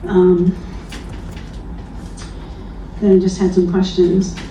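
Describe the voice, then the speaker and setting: A woman from New Lebanon, New York, reading a prepared public comment in a measured, earnest way at a town hearing. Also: fairly low pitch, level tone, warm tone, slight background noise. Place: town hall meeting room. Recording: echoey distant lo-fi hall recording.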